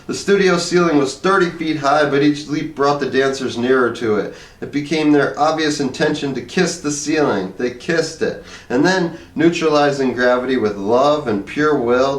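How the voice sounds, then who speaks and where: A man reading a short story aloud as scripted narration. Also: medium pitch. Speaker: story reader. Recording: echoey room, distant microphone.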